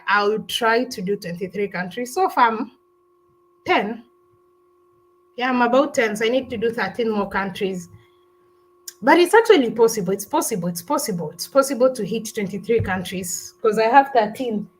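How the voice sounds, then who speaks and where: A woman's voice: medium pitch, low-quality recording. A woman hosting a travel live stream, chatting casually and rambling through viewer comments with lots of restarts and "I don't know". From Kenya.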